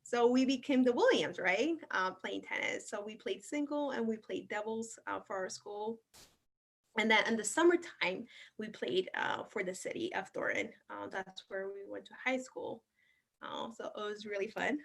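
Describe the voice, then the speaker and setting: A young adult woman from Ethiopia, a show guest telling her life story in a casual, unhurried way, with many ums and uhs. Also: medium pitch, vocal fry.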